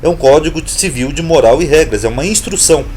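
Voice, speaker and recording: A man's voice: on the high side, lively intonation, fast talker, darkish tone, a little hiss or hum. Emphatic Brazilian man teaching scripture. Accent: Brazilian Portuguese. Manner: emphatic, repetitive, explaining with rhetorical questions. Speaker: Bible teacher, channel host. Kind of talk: informal Bible lesson to camera.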